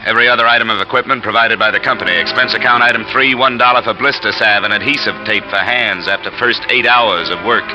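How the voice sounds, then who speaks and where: A man's voice: lowish voice, fast, few pauses. An actor playing a dry-witted insurance investigator who narrates his own case in a 1950s radio drama. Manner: dry, wisecracking. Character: wisecracking freelance insurance investigator. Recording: dull old radio recording with music behind.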